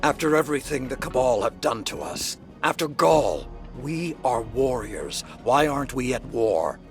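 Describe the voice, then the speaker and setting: An actor playing a gruff, proud male warlord who talks bluntly about war and honor. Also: medium pitch, sing-song, unhurried, soft background music. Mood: fiery. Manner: rousing, forceful war talk. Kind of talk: video game cutscene dialogue. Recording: game score behind.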